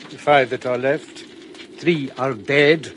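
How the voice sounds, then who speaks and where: A man's voice: medium pitch, fairly even intonation, slow pace, fairly bright tone, a little hiss or hum. An actor in a radio drama playing a proud older man who speaks solemnly and commandingly to his sworn friends.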